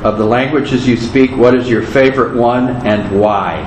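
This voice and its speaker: A male interviewer asks plain, measured questions from some distance off the mic. The room echoes, and a steady background noise runs under his voice. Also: medium pitch.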